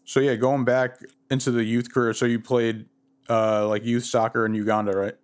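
A man's voice: mid-range voice, level tone, normal speed. A man hosting a soccer podcast, asking laid-back questions and giving short replies. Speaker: podcast host. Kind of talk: casual interview.

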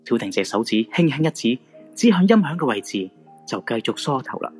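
A man's voice: medium pitch, big pitch swings, brisk, darkish tone, breathy edge, soft background music. A man with a Hong Kong Cantonese accent narrating a scripted supernatural radio drama.

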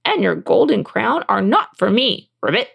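A woman's voice: mid-range voice, sing-song, normal speed, vocal fry, slightly rough, full character voice. A woman voicing a small male talking frog from a storybook, eager and insistent, who punctuates speech with ribbits. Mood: bargaining. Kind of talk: storybook character voice.

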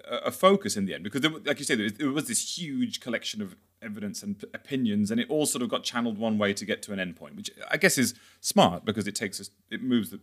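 Lowish-voiced man, halting podcast host. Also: fairly bright tone. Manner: halting, rephrases as he goes. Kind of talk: conversational interview questioning.

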